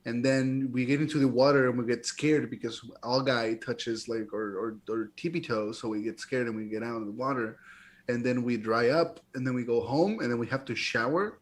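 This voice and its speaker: A man's voice: mid-range voice, moderate pace. A man chatting casually and telling crude, joking anecdotes in a loose group conversation over a video call. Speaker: improv tabletop show cast member. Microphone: remote video call on Zoom.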